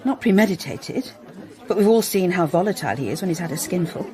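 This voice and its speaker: A woman's voice: fairly low pitch, expressive, fast talker, mellow, a faint crowd, close to an everyday voice. A woman acting in a radio drama as a frank, flirtatious middle-aged socialite with an arch manner. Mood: frank, cool. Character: seductive, worldly married socialite, frank and predatory.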